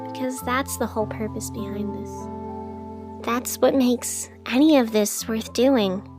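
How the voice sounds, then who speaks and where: A woman playing an earnest, heartfelt podcast host introducing a story in an intimate, deliberate monologue. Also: on the high side, fairly even intonation, natural voice. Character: earnest female radio show host, heartfelt and hesitant. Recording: music behind the voice.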